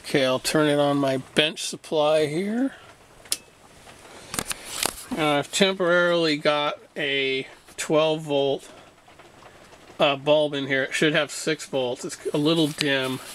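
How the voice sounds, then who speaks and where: Bright-voiced man explaining slowly over noise. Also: on the high side, fairly even intonation. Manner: halting, matter-of-fact explanation. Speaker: radio restorer demonstrating repair. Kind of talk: unscripted hands-on repair demonstration.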